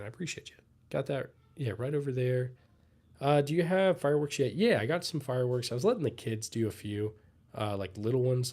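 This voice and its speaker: A young man who is sick and losing his voice, casually chatting and answering viewers' questions on a livestream. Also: medium pitch. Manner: relaxed, rambling, answers chat comments. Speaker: livestream host. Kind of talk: casual live Q&A chat.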